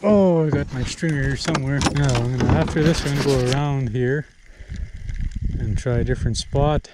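A young man narrating a fishing vlog from a small boat on a lake, chatty and relaxed, thinking aloud with fillers. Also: mid-range voice, moderate pace. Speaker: fishing vlogger. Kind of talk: casual outdoor vlog narration. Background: outboard motor and water noise.

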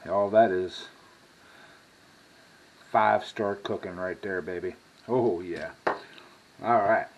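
An American man in his sixties with a folksy manner, casually talking through a cooking demonstration as a home cook. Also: low voice. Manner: folksy, drawn-out asides with y'all. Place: home kitchen, indoors at the stove. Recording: clearly noisy home kitchen recording.

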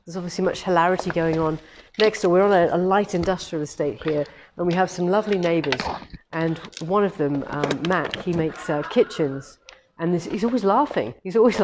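Woman, hoarse from a cold, low voice, chatty and rambling, big indoor showroom, camera a few metres off. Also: noisy background. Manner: chatty and cheerful, rambling with many ums. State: head cold, slightly hoarse. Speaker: quilting shop owner hosting a live demo. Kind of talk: casual live-stream chat. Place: large quilting showroom with longarm machines, indoors. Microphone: camera on a tripod a few metres away.

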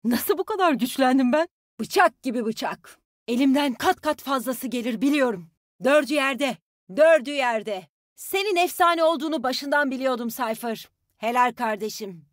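A woman's voice: high-pitched, lively intonation, moderate pace, no echo, clean studio sound. An actress playing a spirited, tough young woman fighter in a video game, with folksy, confident call-outs to her team. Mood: assured. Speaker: game character voice actor.

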